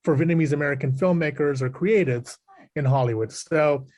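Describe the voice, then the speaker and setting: A man who runs a film festival and produces films, answering a panel question in a halting way with many fillers. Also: a little high, a little mellow.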